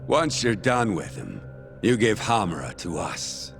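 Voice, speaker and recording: A smug gangster villain who drawls slowly and swoops theatrically through his pitch. The voice is gravelly, with mock courtesy laid over menace, in the manner of a dramatic game cutscene. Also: big pitch swings, faint music behind, exaggerated voice. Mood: calculating. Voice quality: creaky, gravelly.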